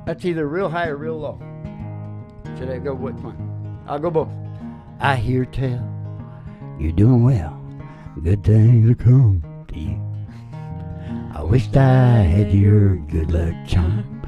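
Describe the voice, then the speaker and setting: A woman's voice: a bass voice, slow pace, pauses often, highs cut off. A woman hosting and singing on a country music show, with a playful, loose manner and a guitar alongside. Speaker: radio show host and singer.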